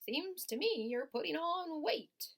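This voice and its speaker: A blunt, gossipy old ewe from a storybook, played by a woman reading aloud. She sounds raised and loud, with a gravelly texture. Her delivery stays flat and matter-of-fact. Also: extremely high pitch, a little slow, pitched above the performer's own voice, exaggerated voice. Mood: knowing.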